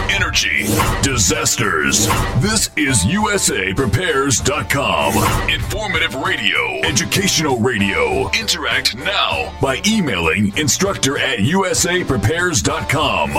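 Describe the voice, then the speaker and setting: A man announces a radio show opening slowly and dramatically, his pitch swooping widely from word to word. Guitar music plays clearly behind him. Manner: slow, dramatic pauses.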